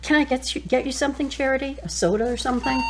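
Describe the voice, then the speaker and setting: A woman playing a calm, courteous intensive care nurse in an audio drama, helpful and matter-of-fact. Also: high-pitched, rapid-fire, close to an everyday voice.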